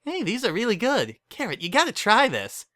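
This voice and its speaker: Tipsy cartoon pony mare, performed by a man: high, loud, bright, theatrical and boisterous. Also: wildly swooping pitch, normal speed, full character voice. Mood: delighted.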